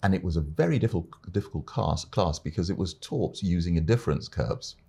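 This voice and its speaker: An older man from Uganda, an experienced arbitrator, telling his life story as an interview guest in a measured, unhurried way. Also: low voice, animated, gravelly, mellow, husky.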